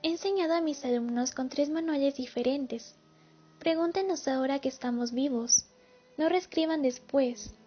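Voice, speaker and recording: A woman's voice: high voice, natural voice. A woman playing a haunted older war veteran giving confessional, pleading testimony in a radio play. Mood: urgent appeal. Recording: muffled lo-fi recording with music.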